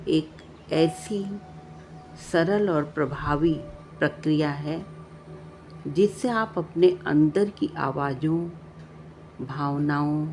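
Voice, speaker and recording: Slow, low-voiced woman guiding meditation. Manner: calm, measured instruction with long pauses. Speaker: meditation guide. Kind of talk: guided meditation narration. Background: background music.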